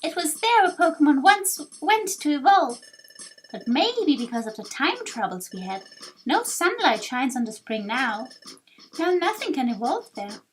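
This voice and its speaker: A woman's voice: high-pitched, a little hiss or hum, poor audio. A woman voicing a formal guild deputy in a video game, giving orders in an instructing manner.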